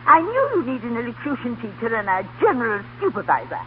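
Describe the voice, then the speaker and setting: A theatrical older aunt in a vintage radio drama. She is grand, gushing and bossy, her high voice swooping dramatically. It comes through thin and hissy, like an old broadcast. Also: big pitch swings, fast. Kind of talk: 1930s radio acting. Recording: tinny, noisy 1930s radio broadcast.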